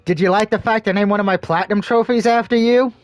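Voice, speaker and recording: A man's voice: sits high, fairly even intonation, fast talker, dry recording. A man streaming a game, chatting casually between read-aloud passages with crude, joking banter. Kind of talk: casual let's-play commentary and reading aloud.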